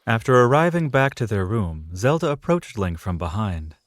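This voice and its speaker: A man's voice: on the low side, sing-song, moderate pace, no echo, studio quality. A man reading scripted narration for a fantasy audio drama, in a storyteller's style.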